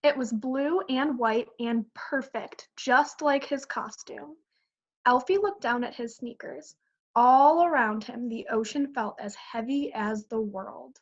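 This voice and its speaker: A woman's voice: a little high, slow. A woman teacher reading a children's picture book aloud on a dull, lo-fi recording. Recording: dull lo-fi audio.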